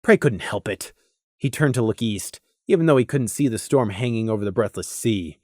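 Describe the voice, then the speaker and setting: A woman reading scripted story narration in an expressive, dramatic way, as an audiobook narrator. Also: very deep voice, moderate pace, dry recording, studio quality.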